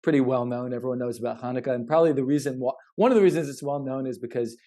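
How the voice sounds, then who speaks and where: A man co-hosting a casual podcast, speaking earnestly and haltingly, often repeating words as he thinks. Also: medium pitch, warm tone. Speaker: podcast co-host.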